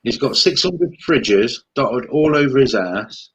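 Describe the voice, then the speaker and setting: A man's voice: mid-range voice, animated, measured pace, husky, dull audio. A man co-hosting a live chat stream, teasing and joking while reading out comments. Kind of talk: casual live-stream banter.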